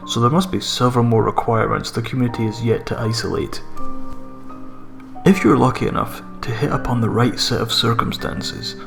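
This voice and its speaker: A man with a mid-pitched, slightly gravelly voice narrates a scripted horror tale. His reading is measured and deliberate. Music plays faintly behind him. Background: background music.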